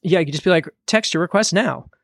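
Man, podcast co-host, fairly high voice, dry close sound, loose rambling chat. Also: fast, gravelly, no echo. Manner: relaxed, rambling with many fillers. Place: home studio during a live stream.

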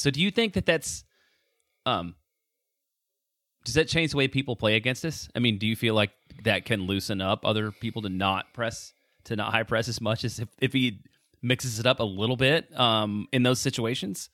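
A man's voice: fairly low pitch, a little fast, a bit bright, no room sound. A man co-hosting a casual sports podcast, easygoing and conversational, asking his co-host questions. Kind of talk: casual sports podcast chat, reads ads.

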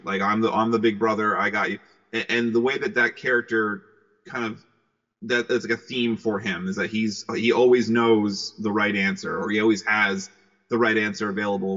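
Male interviewee, voice actor: lowish but bright, upbeat and excitable, conversational, on a dull recording. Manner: cheerful, excitable. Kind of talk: casual interview answers. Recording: muffled, long hall-like reverb.

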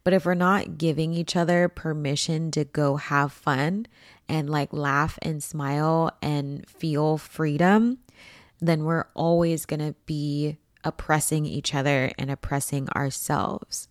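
A woman speaks in a low register with a hoarse, froggy edge. Her pace is slow and unhurried as she hosts a casual motivational podcast. She talks like a coach, informally, leaning on key phrases for emphasis. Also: steady tone. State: hoarse from allergies. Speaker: podcast host and empowerment coach.

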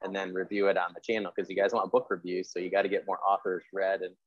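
Fast-talking man with a low voice. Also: flat delivery, no room sound, dull audio. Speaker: podcast host and writer. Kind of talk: casual interview chat.

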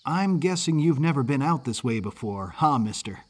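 A man's voice: mid-range voice, animated, normal speed, lightly acted. A man voicing an exuberant orphan circus boy in an audiobook story, talking in an eager, folksy way with a mid-Atlantic American accent. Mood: breezy, confident.

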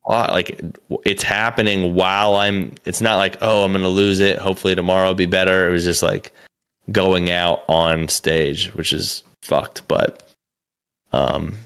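A man's voice: sits low, little pitch movement, a little slow, vocal fry, fairly bright tone, clear, resonant tone. A young man, a comedian and podcast co-host, telling a story in a loose, rambling way, full of "like" and restarts, with casual swearing. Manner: rambling, lots of "like" and restarts, profane.

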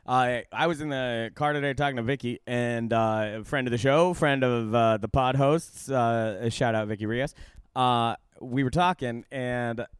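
A young man co-hosting a comedy podcast, trading deadpan quips in casual chat. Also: mid-range voice, normal speed, clear, resonant tone, no echo, clean studio sound.

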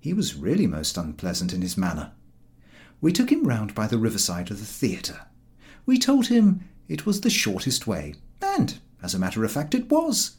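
A man reading a comic story aloud as scripted audiobook narration, in an expressive storytelling style. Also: on the low side, normal speed. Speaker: audiobook reader.

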